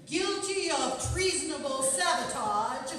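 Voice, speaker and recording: Woman as stern court-martial officer, sharp forceful voice, formal, radio play, echoing hall. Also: high-pitched, expressive. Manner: formal, deliberate, declamatory. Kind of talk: stage radio drama acting. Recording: echoey hall from far off, noisy.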